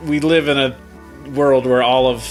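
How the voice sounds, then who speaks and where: A man's voice: mid-range voice, fairly even intonation, normal speed, rough recording. Man, late twenties, casual gameplay banter, dry jokes, background music. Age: late 20s. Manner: wry, joking, goofy outbursts. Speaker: let's play co-host. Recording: music playing under the voice.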